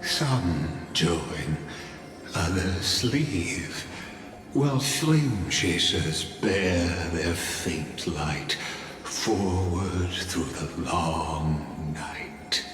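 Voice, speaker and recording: A grand, cryptic showman from a game, stretching out each portentous phrase at a crawl. His deep voice booms through a reverberant hall with music clearly behind it. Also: expressive, drawn-out words, heavily acted. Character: grand, cryptic theatrical narrator guiding a spectator. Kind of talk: video game voice acting.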